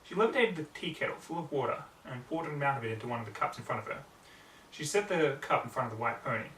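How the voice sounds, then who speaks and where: A man's voice: medium pitch, lively intonation, fast, a bit bright. A man reading a scripted story aloud as its narrator. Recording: roomy, distant mic, faint noise.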